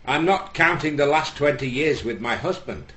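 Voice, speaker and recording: A man voicing a sharp-tongued middle-aged wife who answers back to a judge in a joke. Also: fairly high pitch, expressive, normal speed, voice pushed up. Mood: tart.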